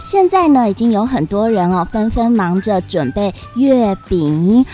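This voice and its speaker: A woman with a Taiwanese Mandarin accent, hosting a children's story show in a playful, sing-song way with drawn-out particles. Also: mid-range voice, big pitch swings, dark tone, faint music behind. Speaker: storyteller host.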